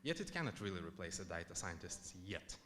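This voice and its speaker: A man's voice: low-pitched, sing-song, a little fast, a bit bright, slight background noise. Chatty man giving a conference talk. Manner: chatty, many fillers and restarts. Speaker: conference speaker. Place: conference hall.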